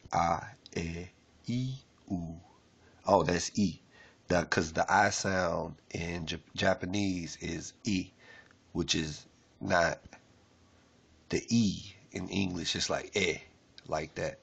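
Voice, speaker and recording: A man casually streaming as he works through a language lesson, rambling with fillers and reading aloud haltingly with restarts. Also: low voice, gravelly, slightly rough. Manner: rambling, loose and unpolished, with many fillers. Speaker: self-taught learner reading a lesson. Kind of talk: casual screen-share stream.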